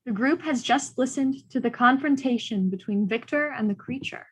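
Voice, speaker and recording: A woman's voice: a little high, moderate pace, slightly rough, low-quality recording. A woman reading stage directions as the neutral narrator of a drama reading.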